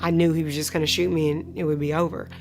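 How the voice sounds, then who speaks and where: A woman of thirty-five giving a personal testimony in an interview, speaking in a measured way with pauses. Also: deep voice, level tone, darkish tone. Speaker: client of a shelter charity telling her story. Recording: music playing behind the voice. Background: instrumental music.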